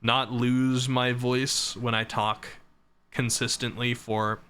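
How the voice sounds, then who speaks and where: A young man streaming live, chatting casually about himself with a rambling, self-deprecating manner, his voice starting to go hoarse from talking so long. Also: medium pitch, little pitch movement, takes it slowly, bright, forceful tone, a little hiss or hum. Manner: rambling and self-deprecating, reading chat and laughing.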